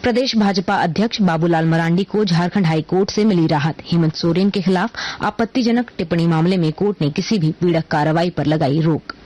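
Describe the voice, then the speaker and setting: A woman reading a scripted radio news bulletin in a formal, measured announcer's manner. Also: fairly low pitch, darkish tone.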